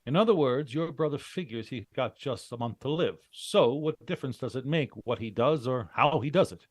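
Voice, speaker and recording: A man plays a cool, hard-boiled American private operative in an old radio crime serial. He is wry and commanding, with a medium-pitched voice in a dry recording. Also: expressive, moderate pace, no echo, close to an everyday voice. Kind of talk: 1940s radio drama acting.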